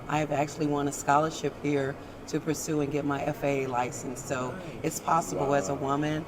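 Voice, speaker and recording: A woman's voice: low voice, flat delivery, brisk. A middle-aged woman on a panel telling her career story in a matter-of-fact way. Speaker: aviation scheduler, panelist. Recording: busy crowd noise around the voice. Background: crowd chatter.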